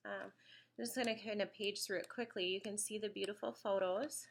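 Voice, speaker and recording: A woman's voice: mid-range voice, steady tone, a little fast, creaky, a little hiss or hum. A woman in her thirties with a slight cold, casually reviewing a homeschool study guide, chatty with frequent ums. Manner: chatty, frequent ums, pages through a book. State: slight cold, sniffly, occasional cough.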